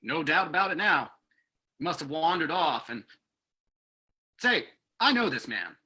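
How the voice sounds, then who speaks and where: Male actor as earnest security agent, radio play: very forceful, animated, practical. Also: fairly high pitch, unhurried, breathy edge, heavily acted. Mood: earnest, grim. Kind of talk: old-time radio drama acting.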